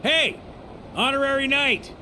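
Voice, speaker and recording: Very high boyish game bard. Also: male voice, pushed and bright, a little hiss or hum, full character voice, low-quality recording. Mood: playful, inviting. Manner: calling out, unhurried. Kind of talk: English video game dub acting.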